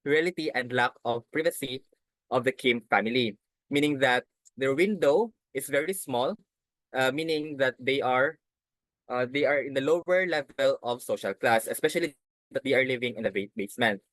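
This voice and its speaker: Young man, student presenter, mid pitch, hesitant and restarting, dry recording. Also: fairly bright tone, no room sound, rough recording. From Philippines. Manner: halting, restarts phrases while explaining slides. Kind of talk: class presentation.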